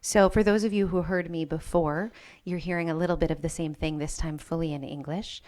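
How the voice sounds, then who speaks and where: Thoughtful American woman rabbi, lowish voice. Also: steady tone, a little mellow. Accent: American, with an Israeli tinge. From United States. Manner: thoughtful, personal, measured. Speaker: rabbi, panelist. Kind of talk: panel talk to a live audience.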